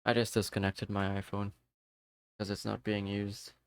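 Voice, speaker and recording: A man chats casually through a live game stream, talking to himself and his viewers as he plays. His voice is smooth and resonant. It is recorded close and dry on a desk microphone. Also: on the low side, little pitch movement, normal speed, no room sound. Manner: chatty, talks to himself and to chat viewers. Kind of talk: casual live-stream chat while gaming.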